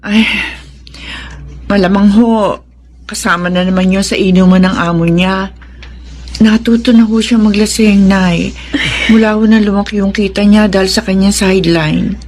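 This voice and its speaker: A woman's voice: mid-range voice, steady tone, moderate pace, darkish tone, a little hiss or hum, close to an everyday voice. A woman playing a troubled, suspicious wife and mother in a radio drama, with a Filipino accent. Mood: polite, resigned.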